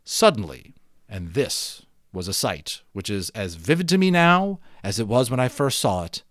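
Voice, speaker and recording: A man giving an expressive, emphatic dramatic reading of a storybook, as an amateur narrator. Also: on the low side, normal speed, dry recording, studio quality. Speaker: amateur audiobook reader. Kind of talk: dramatic storybook read-aloud with chatty asides.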